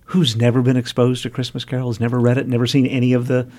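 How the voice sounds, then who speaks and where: A man's voice: mid-range voice, monotone, fast talker, a little mellow, dry recording. A man hosting public radio, interviewing and announcing at a close studio microphone. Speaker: radio host.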